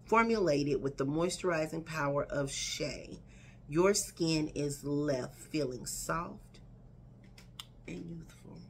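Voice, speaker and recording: A woman's voice: low-pitched, slow pace, creaky, slight background noise. Chatty woman vlogging at home. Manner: chatty, animated, addresses viewers as y'all and girl. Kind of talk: casual chat to camera. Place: living room at home. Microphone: camera in front at desk distance.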